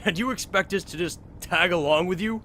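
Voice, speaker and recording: A man's voice: sits high, fairly quick, bright, forceful tone, slight background noise. A man playing a brash, suspicious young survivor in a zombie audio drama, blunt and confrontational.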